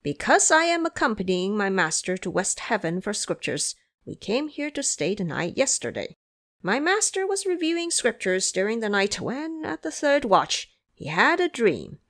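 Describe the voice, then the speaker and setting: A woman voicing a cocky, quick-witted male monkey disciple in an audio drama, brash and persuasive. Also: medium pitch, very animated, fairly bright tone. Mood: earnest, animated. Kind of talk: audio drama character acting.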